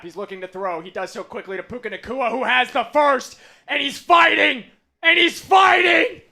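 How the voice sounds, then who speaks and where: Fan play-by-play man, high voice, hoarse from screaming, hushed strain between shouted big plays, streaming from a desk mic. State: losing his voice from screaming. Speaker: watch party play-by-play host. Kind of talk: excited fan play-by-play on a livestream.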